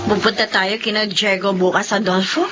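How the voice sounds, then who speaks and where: An actress with a Filipino accent playing a modest wife who resents boasting, in radio drama style. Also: female voice, medium pitch, flat delivery, normal speed, loud music behind the voice, natural voice. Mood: curious. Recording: dull, slightly noisy drama audio.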